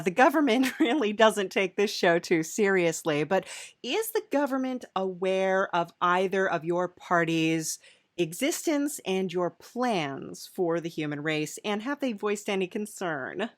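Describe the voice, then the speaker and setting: A woman host speaks unhurriedly in a playful comedy interview. Her questions are hesitant and curious, delivered deadpan, and the sound is close and dry. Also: mid-range voice, measured pace, no room sound. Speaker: podcast host.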